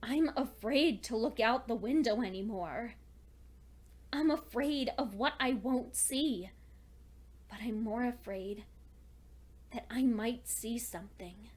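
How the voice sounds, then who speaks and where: A woman voices a young female pony, high in pitch and slow in pace. She is lonely and frightened, keeping a journal in a horror tale. Her delivery is anxious, halting and intensely emotional. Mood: uneasy, afraid. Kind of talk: dramatic first-person horror fan fiction reading.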